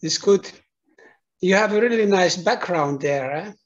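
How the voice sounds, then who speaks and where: A man's voice: high-pitched, a little slow, highs cut off. A middle-aged man, hosting an interview over a video call and phrasing his questions carefully and hesitantly. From Finland. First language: Finnish. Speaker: interviewer and channel host.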